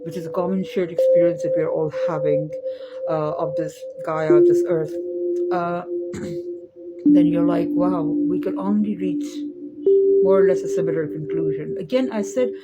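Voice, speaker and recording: Woman musing with loud music behind. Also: fairly low pitch, fairly even intonation, poor audio. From Pakistan. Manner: thoughtful, unhurried, with frequent fillers and restarts. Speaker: spiritual teacher giving a video talk series. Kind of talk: reflective spoken monologue.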